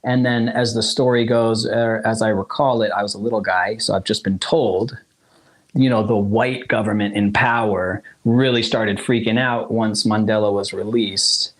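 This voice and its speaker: A man's voice: lowish voice, fairly even intonation, normal speed. A man in his forties from South Africa whose first language is Zulu, telling his family story as a musician guest in a casual interview, rambling with frequent laughs and restarts.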